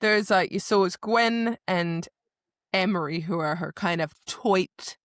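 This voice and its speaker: A woman's voice: lowish voice, slow pace, dry recording, studio quality. A woman co-hosting a podcast in casual book chat, retelling scenes and acting out short quotes. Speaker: podcast co-host.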